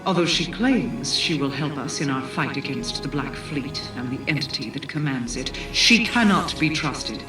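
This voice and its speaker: A woman's voice: very deep voice, lively intonation, normal speed, hardly any pauses, echoey room, recorded from a distance. A woman playing a regal, commanding queen in a fantasy video game, speaking in a solemn and formal way. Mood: grave. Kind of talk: video game cutscene acting. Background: music.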